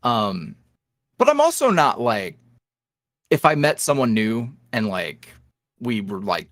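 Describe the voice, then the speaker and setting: A man's voice: slow pace, dry recording, studio quality. A young man, an ASMR roleplay creator, chatting candidly as a guest in an interview. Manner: candid, animated, jumps up in pitch when quoting others. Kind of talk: casual interview chat.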